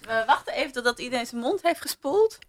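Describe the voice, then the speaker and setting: A woman's voice: sits high, animated, quick pace. A woman with a Netherlands Dutch accent, hosting a casual podcast chat in a teasing, probing way. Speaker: podcast host and journalist.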